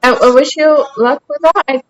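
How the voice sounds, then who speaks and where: An Austrian woman with a high voice and a light Austrian German accent streams a game and chats casually with her viewers. She answers their messages in a chatty, self-deprecating way. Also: normal speed, muffled recording. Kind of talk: casual livestream chat while gaming.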